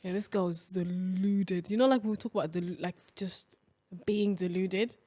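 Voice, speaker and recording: A woman's voice: on the low side, steady tone, normal speed, mellow. A young woman co-hosting a casual podcast chat, teasing her friend and laughing often. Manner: laughs a lot, playful teasing. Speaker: podcast co-host.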